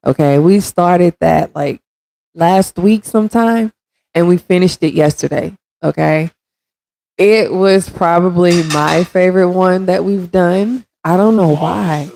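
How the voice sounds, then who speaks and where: Congested, raspy-voiced woman streamer. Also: on the low side, slow, warm tone, a little hiss or hum. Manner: chatty, addresses viewers directly, reads comments aloud. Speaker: stream host. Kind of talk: casual livestream chat.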